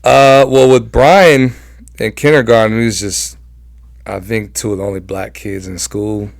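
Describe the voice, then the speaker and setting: A young American man with a low-set voice and plenty of vocal fry. He tells personal stories in a loose, slangy, conversational way as a guest, captured dry with little room sound. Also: fairly even intonation, measured pace, dry recording. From Southern California, United States. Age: young adult. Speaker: interview guest. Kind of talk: casual personal storytelling.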